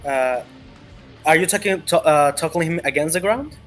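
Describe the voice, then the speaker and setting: Male game master, slightly high voice, halting improvised narration, repeats himself, guitar music underneath. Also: a bit bright. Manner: halting, repeats phrases while thinking.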